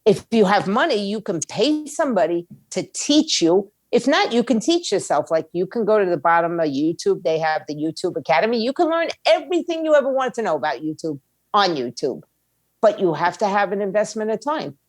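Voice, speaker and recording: A woman's voice: medium pitch, sing-song, brisk, no echo. A woman speaks as an interview guest in a mid-range voice. Her delivery is conversational yet blunt and opinionated, landing on short, emphatic statements. The sound is dry, with little room in it. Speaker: guest, music label owner and attorney.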